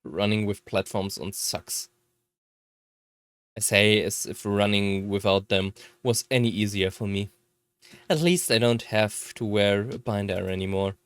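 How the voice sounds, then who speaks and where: Slow, measured visual novel narrator. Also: deep voice, clean tone, no echo.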